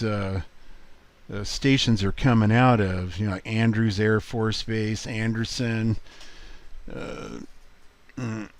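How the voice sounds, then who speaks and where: A man giving a casual, rambling walkthrough as a hobby video host, halting often as he thinks aloud. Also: lowish voice. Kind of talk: casual screen walkthrough commentary.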